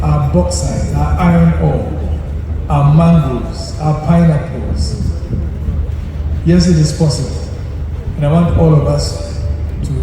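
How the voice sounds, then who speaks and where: A man giving a formal public speech in a measured, oratorical manner with deliberate pauses and emphasis. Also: a little high. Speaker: chief executive of a government agency. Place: large hall at an evening event. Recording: echoey hall PA from far off, noisy.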